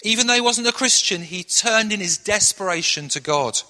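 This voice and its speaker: Bright-voiced preacher in echoing hall. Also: male voice, high-pitched, expressive, moderate pace. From Manchester, England. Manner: earnest storytelling preacher, shifts into hushed prayer. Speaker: pastor. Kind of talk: sermon. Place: church hall. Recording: long echoey hall reverb.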